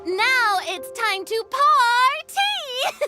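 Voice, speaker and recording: A woman playing a theatrical, high-spirited young film director in a video game, dramatic and commanding. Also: big pitch swings, drawn-out words, over loud music, full character voice. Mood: triumphant. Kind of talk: animated video game voice acting.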